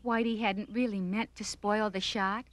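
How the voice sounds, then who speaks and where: A woman's voice: medium pitch, fairly even intonation, moderate pace. A woman narrating a scripted children's cartoon story.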